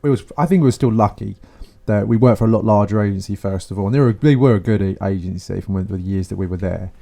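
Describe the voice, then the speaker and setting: Low, mellow-voiced man. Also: quick pace, creaky. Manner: relaxed, dry humour, colloquial. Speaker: company co-founder. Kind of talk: casual podcast chat.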